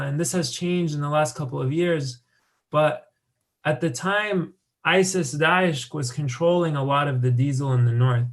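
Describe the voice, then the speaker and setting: A young American man giving a conference talk about his work, speaking loosely with many fillers and restarts. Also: a little high. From United States. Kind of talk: conference presentation with slides.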